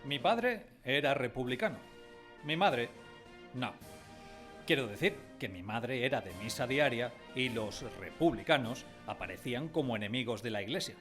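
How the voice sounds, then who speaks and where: A man's voice: mid-range voice, expressive, moderate pace, fairly bright tone, close to an everyday voice. An actor narrating a radio drama as a man recalling his village childhood, wistfully, in a literary style. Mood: reflective. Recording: music behind the voice.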